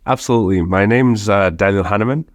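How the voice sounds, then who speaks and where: A man's voice: on the low side, fast talker, dry recording. A young man from Hong Kong with a slight American accent, talking conversationally as a podcast guest with many restarts. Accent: slight American international-school accent. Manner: conversational, with frequent restarts and fillers. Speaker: startup founder guest. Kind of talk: podcast interview.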